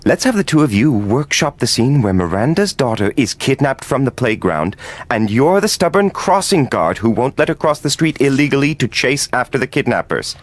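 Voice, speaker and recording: Casting: airy, artsy film director for a comedic game; earnest, pretentious, very animated pitch. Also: male voice, moderate pace. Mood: intrigued. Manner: pretentious, earnest artistic talk. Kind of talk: comedic video game cutscene acting.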